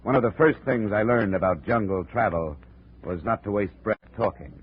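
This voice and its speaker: An actor playing a tough, cynical American insurance investigator in a 1950s radio drama, speaking in a clipped, hard-boiled way. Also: male voice, on the low side, moderate pace, lightly acted. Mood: dry, narrating. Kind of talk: 1950s radio detective drama, first-person narration and dialogue. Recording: muffled, noisy 1950s radio recording.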